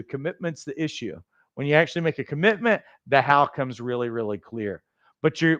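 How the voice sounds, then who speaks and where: A man with a raspy voice hosting a livestream, giving a fast, emphatic, motivational pitch for coach training. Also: no echo. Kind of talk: energetic sales pitch on a livestream.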